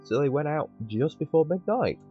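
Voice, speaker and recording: A man's voice: on the high side, very animated, moderate pace, highs cut off, faint music behind. A man hosting a game playthrough, commenting casually with wry, conversational asides. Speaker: host of a gaming channel.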